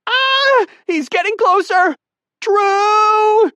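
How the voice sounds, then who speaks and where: A man's voice: animated, normal speed, dry recording. A man voicing a panicked young woman who shrieks and scolds frantically. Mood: shrieking. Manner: shrill, frantic, yelling.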